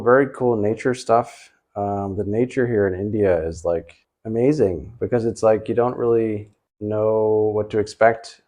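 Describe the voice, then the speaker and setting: A man's voice: on the low side, mellow. A man talking casually as a travel vlogger, rambling along in a laid-back way. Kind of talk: casual vlog talk.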